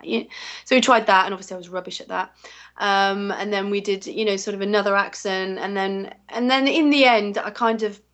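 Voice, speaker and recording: A woman's voice: medium pitch, fairly even intonation, fairly quick, fairly bright tone. Chatty English woman, rambling. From England. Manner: rambling, many fillers and restarts. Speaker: voice actress, interview guest. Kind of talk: casual interview chat.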